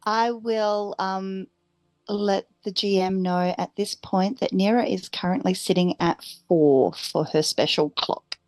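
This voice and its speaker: A woman's voice: medium pitch, steady tone, takes it slowly, a little mellow. A woman playing a tabletop game, chatting casually in a playful, wry way. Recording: faint music behind. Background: faint music.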